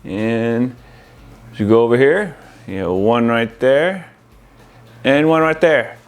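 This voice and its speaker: A man's voice: medium pitch, animated, slow, clean tone. Casual man presenting a hands-on install. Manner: informal, addresses viewers as guys. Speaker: audio-video installer presenting to viewers. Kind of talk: casual product demo and install walkthrough.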